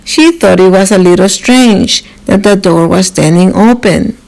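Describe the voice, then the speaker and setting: A woman narrating a children's storybook as a storyteller, in a measured, expressive and dramatized way. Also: mid-range voice, gravelly, rough recording.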